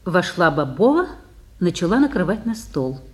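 Mid-pitched, expressive stage-play narrator. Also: normal speed. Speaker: narrator reading stage directions.

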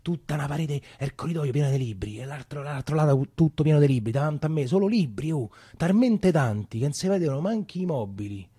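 An actor playing a Roman man who recounts his wartime boyhood in colloquial, halting theatrical storytelling with repeated phrases. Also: on the high side, expressive, warm tone, breathy edge, dry recording, studio quality. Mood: reminiscing. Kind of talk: theatrical first-person storytelling.

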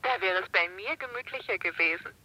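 A woman's voice: mid-range voice, fairly quick, sharp tone, poor audio. An actress playing a flirtatious, teasing Hollywood woman in a 1960s German radio drama, playful and coquettish. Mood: playful, coaxing.

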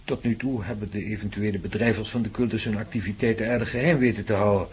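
An actor playing a courteous professor in a radio drama, speaking in a grave, measured, formal manner with a husky voice. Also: male voice, on the low side, steady tone. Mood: grave, explanatory. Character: grave, courteous male professor explaining ominous mysteries.

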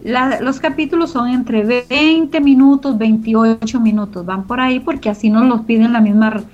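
A woman's voice: fairly high pitch, normal speed, darkish tone. A woman explaining her radio production work in an interview, searching for her words as she goes. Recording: muffled sound with music underneath. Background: music.

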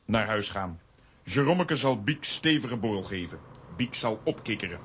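An actor playing a gruff, laconic strongman in a children's radio play, deadpan and speaking in clipped telegraphic sentences. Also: on the low side, moderate pace, slight background noise. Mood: unruffled. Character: unflappable strongman, gruff, laconic, good-natured.

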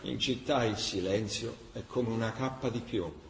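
An actor playing a solemn chronicler of a besieged city in a scripted radio drama. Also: male voice, on the low side, moderate pace, lightly acted. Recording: echoey room, clear background noise.

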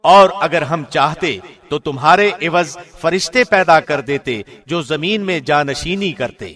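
A man reads a scripture translation formally, with the measured diction of a radio presenter. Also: fairly high pitch, fairly bright tone. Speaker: translation reader, radio host.

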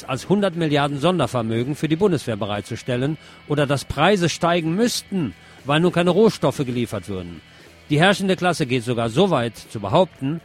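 A man hosting a program, reading press articles aloud and adding brief comments of his own. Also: medium pitch.

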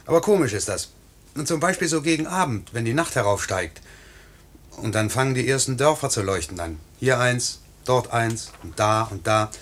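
An actor playing a swaggering but tender young newlywed pilot in a 1950s German radio play, with a teasing, dreamy way of talking. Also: male voice, medium pitch, lively intonation, moderate pace, fairly bright tone. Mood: dreamy, marveling.

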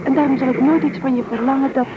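An actress playing a tender, encouraging fiancée in an old Dutch radio play, earnest and affectionate. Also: female voice, high-pitched, fairly even intonation, fast, close to an everyday voice. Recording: dull, noisy old radio recording.

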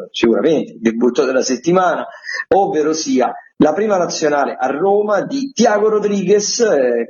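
A man hosting a radio show, reading out theatre listings in a semi-improvised, digressive way, often halting and correcting himself with asides. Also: a little high, a little mellow, highs cut off.

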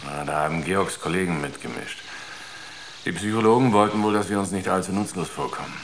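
A man's voice: deep voice, a little fast, slight background noise. An actor playing a wry, matey spaceship crewman in a German radio drama, speaking casually. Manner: casual, short teasing remarks.

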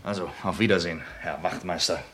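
An actor playing a resigned, sceptical citizen in a German radio play, speaking in a dry, weary, needling way. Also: male voice, sits low, brisk, noisy background, close to an everyday voice. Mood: resigned, reproachful. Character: resigned, sceptical male citizen reporting to police.

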